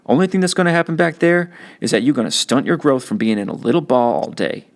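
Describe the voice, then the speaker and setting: A man's voice: mid-range voice, lively intonation, fast talker, pitched above the performer's own voice, close to an everyday voice. A man voicing a tough, streetwise teenage older brother who lectures bluntly in slang. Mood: lecturing. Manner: scolding, slangy, forceful lecturing.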